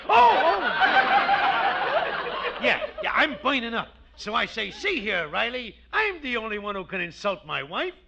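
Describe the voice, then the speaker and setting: A man's voice: high-pitched, huge pitch swings, measured pace, creaky, sharp tone, slight background noise, full character voice. An actor playing a cranky, scheming working-class pal who mangles his words, in a 1940s radio comedy. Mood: combative. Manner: combative, wisecracking.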